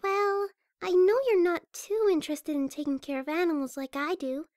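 A woman voicing a shy, gentle young cartoon pegasus pony with a hesitant, stammering delivery. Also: extremely high pitch, moderate pace, dry recording, clean studio sound. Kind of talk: amateur cartoon fan audio drama.

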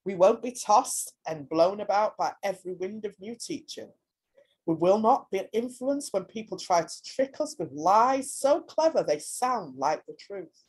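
Husky woman reading scripture aloud. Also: mid-range voice, expressive, a little slow, a little mellow, poor audio. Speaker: scripture reader.

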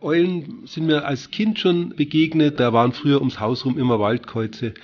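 A man's voice: a little high, moderate pace, darkish tone. Man explaining in a slightly dull recording. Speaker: owl expert being interviewed. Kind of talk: spontaneous explaining in an interview.

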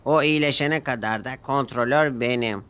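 A man's voice: mid-range voice, moderate pace, fairly bright tone, clear, resonant tone, close to an everyday voice. A man voicing an eager, self-important little male engine who gives orders proudly in a cartoon. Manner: proud, bossy.

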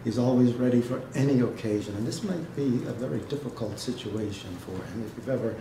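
A man giving a dramatic literary reading and talk to an audience, speaking in a measured way with pauses. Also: mid-range voice. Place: indoor hall, seated before an audience. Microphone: microphone on a stand, recorded by a distant camera. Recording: echoey room, noisy background.

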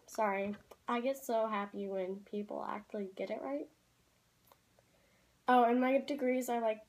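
A teenage girl chats to a camera in a small room at home, her voice sitting a little high. She rambles loosely, stringing thoughts together with "like" and apologizing as she goes. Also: darkish tone, low-quality recording. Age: teens. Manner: chatty, rambling, with many "like"s and quick apologies. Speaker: vlogger. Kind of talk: casual chat to camera.

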